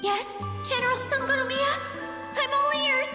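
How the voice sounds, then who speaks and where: A woman's voice: extremely high pitch, moderate pace. A woman playing a shy, dramatic female water spirit in an anime-style game, chatty and easily flustered. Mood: eager. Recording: long echoey reverb, music behind.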